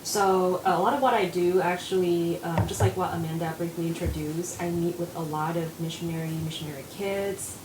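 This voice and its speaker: A woman's voice: on the low side, steady tone, normal speed, hardly any pauses. Young Taiwanese woman counselor, earnest. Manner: earnest, with many fillers. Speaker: mental health counselor. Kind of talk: casual panel discussion. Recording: echoey room with audible noise.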